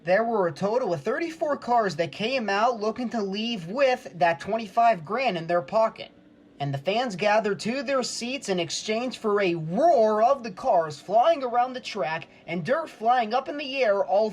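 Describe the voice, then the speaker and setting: A man's voice: sits high, lively intonation, moderate pace. A man working as a sportscaster, delivering play-by-play and scripted reporting.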